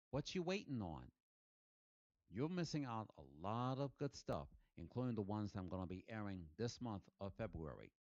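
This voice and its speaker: A man's voice: lowish voice, no room sound. A man reading a promo appeal for his podcast, pleading directly and repeatedly with listeners. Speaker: podcast host. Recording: dull, dry lo-fi recording.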